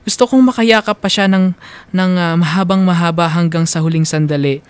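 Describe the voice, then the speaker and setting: A man's voice: high-pitched, steady tone, brisk, clean tone, no echo. A man reading a scripted first-person radio story in a mournful, sentimental manner. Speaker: radio drama narrator.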